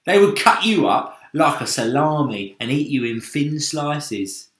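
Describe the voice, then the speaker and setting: Man playing brash male centipede, storybook character, mocking, raised pitch, echoey room. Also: big pitch swings, measured pace, fairly bright tone. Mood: gleeful teasing. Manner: scoffing, cocky.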